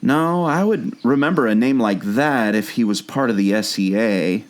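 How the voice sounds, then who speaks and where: A man's voice: mid-range voice, animated, moderate pace, natural voice. A man playing an earnest, obsessive amateur sleuth and former jungle skipper in a scripted audio drama. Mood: puzzled, intent. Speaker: podcast co-host.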